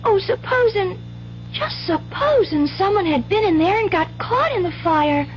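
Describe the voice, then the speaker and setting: A woman's voice: very high-pitched, animated, normal speed. An actress playing an earnest, trusting country schoolgirl with folksy, wide-eyed speech in a radio drama. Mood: frightened, pleading. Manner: folksy rural grammar, eager and wide-eyed. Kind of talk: 1970s radio drama acting. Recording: dull, hissy old radio broadcast.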